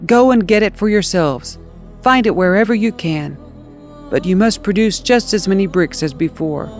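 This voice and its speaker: A woman's voice: medium pitch, normal speed, close to an everyday voice. Harsh Egyptian overseer played by a woman, bossy and demanding, scripture storytelling over music. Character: harsh, demanding male Egyptian slave drivers.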